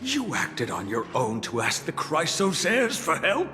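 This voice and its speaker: Stern old priest, echoing hall. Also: male voice, a little high, wildly swooping pitch, unhurried. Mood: indignant. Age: older. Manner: declamatory, indignant, formal. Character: stern, stubborn old priest clinging to his faith. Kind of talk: video game voice acting. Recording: long reverb, loud music behind.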